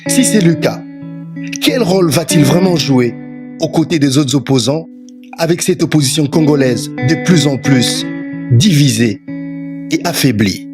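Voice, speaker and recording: A woman with a low voice reads a scripted documentary narration. She frames points as measured rhetorical questions. Loud plucked-string music plays beneath her. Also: animated.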